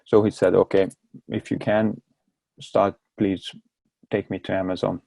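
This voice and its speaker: A low, gravelly man's voice with a strong Hungarian accent, almost monotone as he explains himself in his second language. He stalls and repeats words mid-sentence, and the mellow, dark tone sits in a dry, close space. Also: no room sound. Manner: halting, repeats words while searching for them. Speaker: guest. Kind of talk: casual interview talk.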